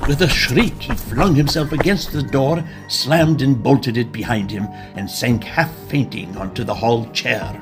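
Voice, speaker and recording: A man narrating a gothic horror radio drama in a solemn, deliberate, suspenseful storytelling manner. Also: medium pitch, natural voice. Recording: prominent music behind the narration.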